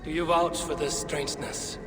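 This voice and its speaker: A man's voice: a little high, little pitch movement, normal speed, music in the background, natural voice. Man playing a weary, resolute Norse god. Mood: grim resolve. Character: weary, resolute male Norse god seeking to save his people. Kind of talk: dramatic video game acting.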